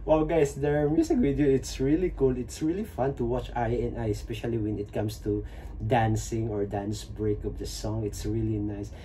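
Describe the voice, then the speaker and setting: A mellow male voice with a Filipino accent, pitched around average. He comments casually and with open admiration as a reaction host. Faint background noise sits behind his warm tone. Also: moderate pace. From Philippines. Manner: enthusiastic, admiring. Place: small plain indoor room.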